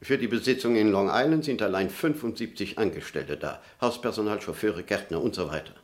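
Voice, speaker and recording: An actor playing a formal, businesslike and impatient corporate emissary in a German radio play. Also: male voice, fairly low pitch, brisk, lightly acted. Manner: formal, precise, businesslike, pressing. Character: brisk, formal, impatient male corporate emissary from New York.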